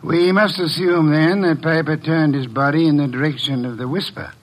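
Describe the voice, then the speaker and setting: A man playing a pompous, imperious fat detective in an old radio drama, speaking in formal, pedantic, deliberate phrases. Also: a little high, steady tone, close to an everyday voice, poor audio. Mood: coolly probing.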